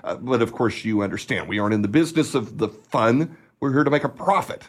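An actor playing a gruff, evasive, blustering manager of an ice cream company in a radio play. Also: male voice, medium pitch, animated, a little fast, close to an everyday voice. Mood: jovial, blustering.

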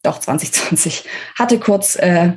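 A woman's voice: on the low side, unhurried, a little mellow, breathy edge. A woman with a German accent explains things as an expert interview guest, informal and emphatic, often correcting herself as she thinks aloud.

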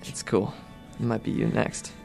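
A man playing a calm, forgiving, nerdy wounded teenage boy in a radio drama, earnest and matter-of-fact, with a gravelly voice. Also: normal speed, over music, natural voice. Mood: resigned, forgiving.

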